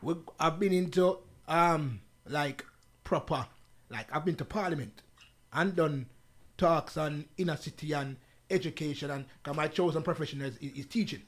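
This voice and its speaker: A man from the UK with a Jamaican accent, the guest on an interview show, telling stories in a relaxed, patois-laced way. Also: on the high side, normal speed. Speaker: guest artist. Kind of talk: casual interview chat.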